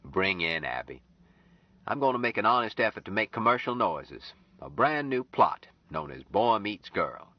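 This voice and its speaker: A man doing a gruff, sardonic older man. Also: fairly low pitch, sing-song, moderate pace, dry recording. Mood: brusque. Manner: dry, blunt, grumbling. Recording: slightly dull lo-fi audio.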